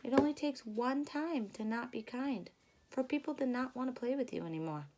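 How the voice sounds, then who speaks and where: A woman doing an expressive read-aloud for young children, pausing to put questions to them in a teacherly way. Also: fairly high pitch, noisy background. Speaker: storyteller reading a picture book to children. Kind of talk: animated read-aloud with asides to young listeners.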